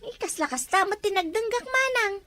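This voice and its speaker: Actress as emotional, excitable rural woman for a radio-style drama, extremely high voice. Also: a little fast, crowd noise. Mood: chatty, puzzled. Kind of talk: Ilocano audio drama acting.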